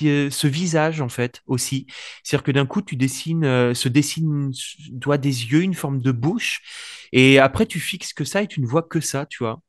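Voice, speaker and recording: A man's voice: on the high side, dry recording, studio quality. A man co-hosting a paranormal news show, talking casually and hesitantly with many fillers and restarts. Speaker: co-host. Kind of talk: casual discussion.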